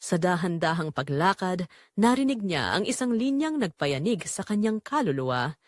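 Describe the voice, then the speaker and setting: A woman narrating a romantic drama in a measured, sentimental storytelling manner. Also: on the low side, big pitch swings.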